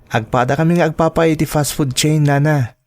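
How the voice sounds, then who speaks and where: A man's voice: on the high side, fairly even intonation, a little fast, a little mellow, no echo, close to an everyday voice. Man acting earnest young Ilocano suitor, dry sound. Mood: earnest, respectful. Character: earnest, polite young suitor who works in fast food. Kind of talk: Filipino radio drama acting.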